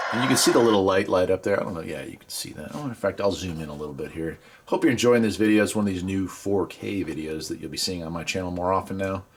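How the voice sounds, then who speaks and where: An American man giving a casual hands-on gadget review in a conversational, enthusiastic way with folksy asides. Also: deep voice. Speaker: product reviewer and video host.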